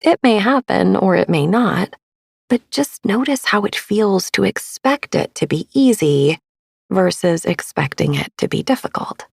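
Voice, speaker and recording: A woman podcast host narrating a sleep meditation in a soft, breathy, soothing and gentle manner. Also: medium pitch, lively intonation, a little slow, no room sound, studio quality. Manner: soothing, hushed, gentle delivery.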